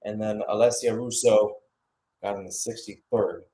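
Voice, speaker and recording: A man's voice: lowish voice, fairly even intonation, moderate pace, warm tone, low-quality recording. Man calling live football play-by-play. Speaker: play-by-play commentator. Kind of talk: live football radio-style commentary.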